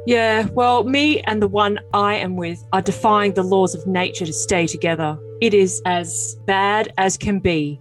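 A woman's voice: medium pitch, unhurried, few pauses, faint music behind, close to an everyday voice, low-quality recording. A woman voicing an earnest, defensive, lovesick young man in a dramatic reading of text messages. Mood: defensive, boastful. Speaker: podcast cast reader.

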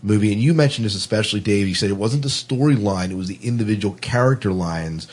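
A man's voice: fairly low pitch, fast, a little mellow, slightly rough. A man hosting a casual film discussion podcast, thinking aloud and often restarting his sentences. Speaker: podcast host.

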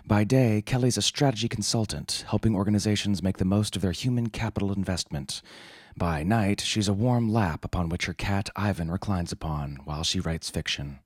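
A man's voice: lowish voice, fairly quick, a little mellow, dry recording, clean studio sound. A man hosting a podcast, reading scripted comic satire and introductions in a wry, theatrical way. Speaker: podcast host.

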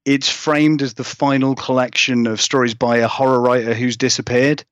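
A man's voice: medium pitch, little pitch movement, normal speed, no echo, dull audio. A man chatting casually as an interview guest about his writing, rambling, hedging and self-deprecating. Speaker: guest, horror fiction author. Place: remote call from another country.

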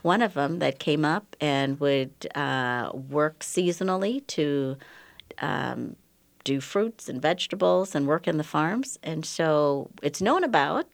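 Female guest from the Bahamas, faint Bahamian accent, low and dry, chatty and talkative. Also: lively intonation, slow, vocal fry, dry recording. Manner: warm, chatty, frequent fillers and laughs. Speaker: ENT surgeon guest. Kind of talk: casual radio interview.

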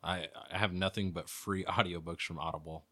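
Deep, bright-edged man bantering casually. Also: fairly even intonation, brisk. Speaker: podcast co-host. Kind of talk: casual comedic chat.

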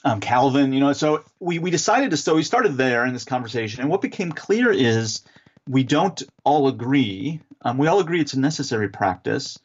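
A man answering interview questions as an author and theologian, explaining in a measured, teacherly way with some fillers. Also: mid-range voice, lively intonation, husky, highs cut off.

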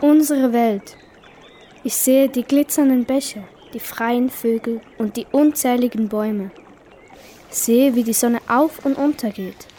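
A woman's voice: on the high side, measured pace, dark tone, a little hiss or hum. A girl aged ten to twelve reading a scripted poetic text. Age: child, 10 to 12. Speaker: young workshop participant reading own text.

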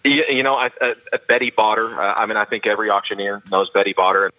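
A man with a carrying baritone voice, a professional auctioneer giving earnest, detailed interview answers with frequent restarts. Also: fairly even intonation, quick pace, sharp tone, rough recording. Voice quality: baritone voice that carries. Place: studio.

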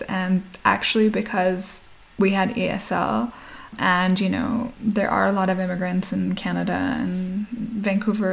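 Gravelly-voiced woman from Nigeria, laughing. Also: mid-range voice. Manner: warm, laughs often, many restarts. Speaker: guest. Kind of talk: casual interview chat. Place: Berlin.

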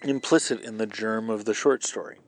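A man's voice: on the low side, moderate pace, dry recording, rough recording. A man hosting a podcast, explaining writing craft in an informal, colloquial way. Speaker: podcast host and novelist. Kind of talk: casual writing advice podcast.

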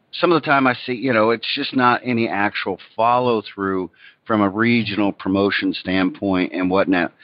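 A man's voice: fairly low pitch, no echo. A man hosting a podcast, asking questions and complimenting his guest in a friendly way. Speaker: podcast host. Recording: dry, dull lo-fi audio.

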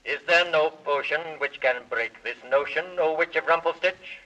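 A man's voice: a little high, moderate pace, bright, forceful tone. An actor playing a solemn talking magic mirror that declaims in rhyme for a witch in a 1930s radio serial. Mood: solemn, declaiming. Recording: muffled 1930s radio recording.

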